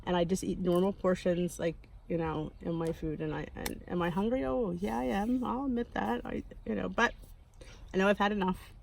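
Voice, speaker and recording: A woman's voice: medium pitch, steady tone, normal speed, gravelly, a little hiss or hum, low-quality recording. A woman in her fifties talks straight to her viewers in a candid, unpolished way. She rambles and restarts, pokes fun at herself, and keeps a mid-range voice throughout. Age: 50s. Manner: candid, rambling, self-deprecating, with frequent restarts. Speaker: weight-loss vlogger. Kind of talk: casual talking-to-camera monologue.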